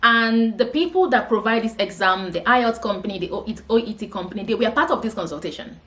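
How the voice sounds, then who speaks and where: A woman's voice: fairly high pitch, animated, quick pace, fairly bright tone. A woman with a Nigerian accent, coaching nurses in a patient, advising vlog talk that keeps checking in with the listener.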